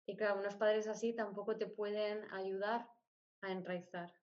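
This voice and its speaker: Lo-fi muffled audio, middle aged lady telling personal stories in an interview, medium pitch, repeats short bits. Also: fairly even intonation, moderate pace, a little mellow. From born in Spain, grew up moving between countries. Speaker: interview guest. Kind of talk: personal storytelling in conversation. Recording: dull, lo-fi audio.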